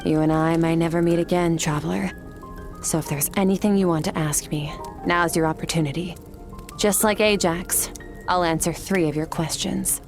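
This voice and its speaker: A woman's voice: on the low side, steady tone, moderate pace, over music, lightly acted. A woman voicing a cool, aloof warrior from beyond the stars in an anime game, composed, terse and formal. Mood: composed, matter-of-fact.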